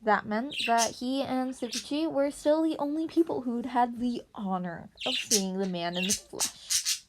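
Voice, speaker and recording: A woman's voice: on the high side, a little slow. A woman reading fan fiction narration aloud as an amateur podfic reader. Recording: noisy amateur recording with birds chirping.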